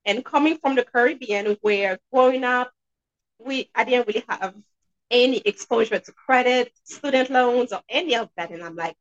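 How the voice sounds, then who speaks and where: A woman's voice: a little high, moderate pace. A woman in her thirties with a Haitian accent, answering conversationally as a podcast guest, repeating words for emphasis. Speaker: podcast guest, dentist and debt coach. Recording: slightly dull, lo-fi sound.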